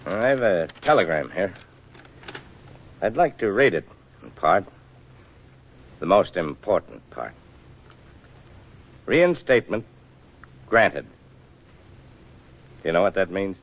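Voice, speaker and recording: An actor playing a gruff older navy officer, formal and measured, in a 1940s radio drama. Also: male voice, sits low, sing-song, full character voice. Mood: stern, deliberate. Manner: stern, measured, formal delivery. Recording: dull vintage 1940s radio recording.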